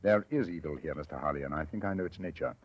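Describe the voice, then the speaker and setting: An actor playing an incisive, composed English gentleman detective in a 1940s radio drama, speaking with authority. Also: very deep voice. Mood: grave, measured. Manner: crisp, authoritative, deliberate. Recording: muffled old radio recording.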